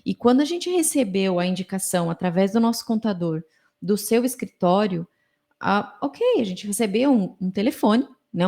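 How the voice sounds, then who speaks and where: Brazilian woman, Brazilian Portuguese accent, podcast host; mellow warm tone, chatty and full of fillers. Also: mid-range voice. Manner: chatty, many fillers and affirming murmurs. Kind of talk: conversational podcast interviewing.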